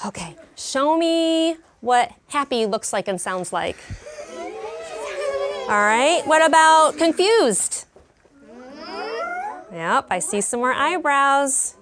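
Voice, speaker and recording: Lady teacher reading aloud to little kids, slightly high voice, slow and expressive, classroom. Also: a little hiss or hum. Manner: animated, slow and expressive with young children. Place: elementary classroom.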